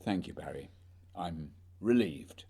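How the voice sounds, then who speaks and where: A man playing an earnest, uneasy Edwardian gentleman explorer in a radio play, speaking formally and hesitantly in a husky voice. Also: low voice, expressive, slow pace, exaggerated voice. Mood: nervous, defensive.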